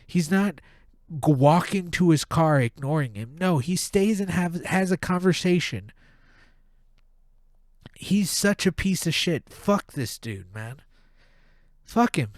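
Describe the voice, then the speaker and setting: A man's voice: on the high side, animated, slow, long pauses, no room sound, studio quality. A man with a stuffed-up nose, hosting a podcast and ranting with heavy profanity in a casual monologue. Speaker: podcast host.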